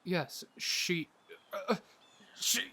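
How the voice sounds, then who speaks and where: A man playing an ancient, mocking villain with grandiose, drawn-out delivery in an audio drama. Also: pushed and bright, full character voice. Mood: sly, amused.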